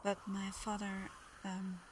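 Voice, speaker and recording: Woman to play Santa's grown daughter: gentle, shy, affectionate, hushed ASMR delivery with even intonation, over noticeable background ambience. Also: mid-range voice, slow pace, lightly acted. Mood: tender, reflective. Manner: soft, tender, hesitant, partly whispered. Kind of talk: intimate ASMR roleplay, soft-spoken and breathy. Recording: clearly noisy background ambience.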